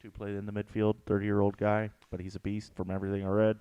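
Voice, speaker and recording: A man's voice: fairly low pitch, completely flat delivery, fast talker, clear, resonant tone, no echo, lo-fi sound. A man co-hosting a casual sports podcast, laid-back and joking. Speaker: podcast co-host.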